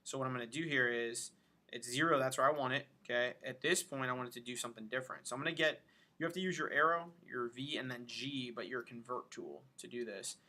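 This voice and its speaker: A man giving an informal software tutorial, thinking aloud as he demonstrates each step. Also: mid-range voice, quick pace. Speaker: animation instructor. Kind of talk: casual screen-recorded tutorial narration.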